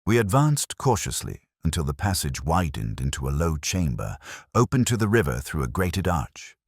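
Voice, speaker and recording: A man narrates a Victorian mystery in a literary, dramatic style. His voice is very deep and rough-edged, with lively, expressive movement in pitch, heard dry and free of room sound. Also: normal speed, dry recording.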